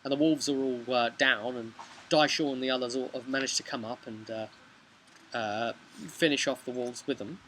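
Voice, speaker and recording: A man's voice: medium pitch, fairly even intonation, normal speed, a bit bright. A man, casually retelling a tabletop role-playing session as game master, recorded outdoors on a camera mic. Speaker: game master recapping his campaign. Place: outdoors on a bench beside a brick house. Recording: noisy outdoor home video recording.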